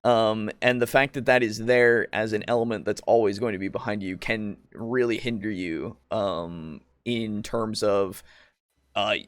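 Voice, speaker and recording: A man co-hosting a podcast, talking casually about tabletop roleplaying in a halting, rambling way with frequent swearing. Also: on the low side, no echo, clean studio sound. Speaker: podcast co-host.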